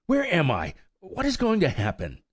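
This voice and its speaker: A man's voice: lowish voice, wildly swooping pitch, fairly quick, no room sound, studio quality, voice pushed up. Firefly, a man doing a fiery young colt. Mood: excited. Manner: indignant outbursts, shouting. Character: impetuous young male colt, hot-tempered and defiant.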